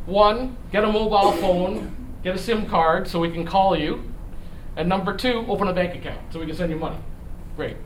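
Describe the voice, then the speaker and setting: Middle aged guy lecturing kinda off the cuff, highish voice, stop-start phrasing, far from the mic. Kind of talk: conversational lecture with rhetorical questions. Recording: echoey room, distant mic, noisy background.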